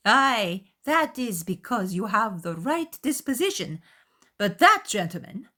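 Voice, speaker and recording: A woman voicing an excitable, boastful middle-aged Regency mother in a dramatized audiobook, with a gushing, emphatic manner. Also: mid-range voice, measured pace, voice pushed up, heavily acted. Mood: indignant triumph.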